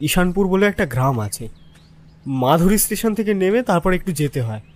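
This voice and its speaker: Man playing a high-voiced lovelorn young villager. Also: expressive, fairly quick, a little mellow. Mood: earnest. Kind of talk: dramatic first-person storytelling.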